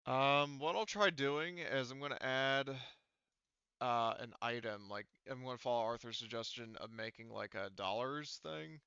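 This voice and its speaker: A man chatting casually as a game master, informal and sweary, thinking aloud as he troubleshoots software over voice chat. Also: medium pitch, normal speed, gravelly, clear, resonant tone, no room sound, muffled recording. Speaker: game master of an online tabletop roleplaying game.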